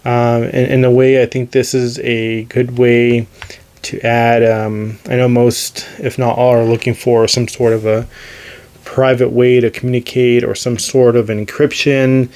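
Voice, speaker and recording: A man's voice: mid-range voice, flat delivery, unhurried, darkish tone. An American man hosting a casual, unscripted hobby review video, rambling and hesitant as he thinks aloud. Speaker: radio hobbyist video host.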